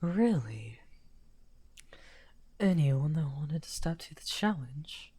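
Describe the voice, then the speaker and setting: Man acting a misunderstood evil wizard, very slow, mellow and dark, teasing, half-whispered, fantasy ASMR roleplay. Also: a little high, very animated, breathy edge, full character voice. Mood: amused, fascinated. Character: misunderstood male villain wizard, weary, amused, softly menacing. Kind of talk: ASMR fantasy villain roleplay, addressing the listener.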